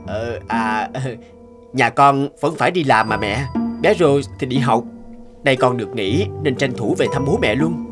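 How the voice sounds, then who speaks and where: A man voicing an irritable, neglectful young husband in a cartoon, grumbling and hesitant, with music playing loudly behind him. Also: medium pitch, a little slow, natural voice. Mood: evasive. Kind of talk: animated cartoon voice acting. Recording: loud background music over voice.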